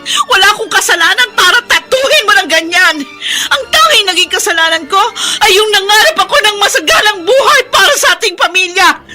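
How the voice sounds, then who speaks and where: A woman's voice: very high-pitched, exaggerated voice. An actress playing Angie, a long-suffering mother back from working abroad, in a radio drama. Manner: pleading, turning to anguished shouting.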